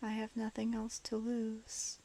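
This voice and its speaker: A woman's voice: a little high, monotone, measured pace, vocal fry, dark tone. A woman playing a vulnerable, submissive young woman under a spell, murmuring hesitantly and breathily in a fan audio drama. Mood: desperate, resigned.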